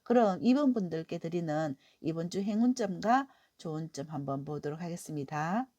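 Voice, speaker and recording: A middle-aged woman talks through a weekly tarot reading, her voice hoarse from a cold. She sounds low and mellow, easing along with soft fillers and warm encouragement. The recording is close and dry. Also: animated, normal speed, dry recording. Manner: gentle, encouraging, many "eo" fillers. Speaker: tarot reader.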